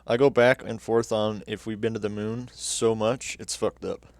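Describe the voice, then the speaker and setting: Casting: young male streamer, relaxed, cursing, low voice, dry mic. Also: normal speed, dry recording. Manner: laid-back, swears casually. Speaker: livestream host. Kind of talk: casual livestream chat reacting to videos.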